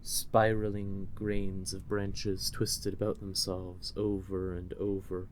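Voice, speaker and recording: A man with a low voice reads a fantasy story as narrator. His delivery is unhurried and measured, with a storyteller's sense of drama. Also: completely flat delivery, a little hiss or hum.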